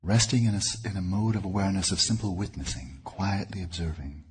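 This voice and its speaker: A man leading a guided meditation as a teacher, speaking in deliberate, measured phrases with pauses. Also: deep voice, breathy edge.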